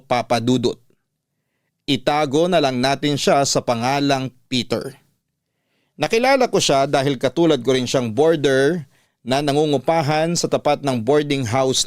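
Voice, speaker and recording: A man's voice: mid-range voice, no echo. Male storyteller for radio confessional drama, scripted narration, dry studio sound, mid-range voice. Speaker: radio storyteller reading a letter.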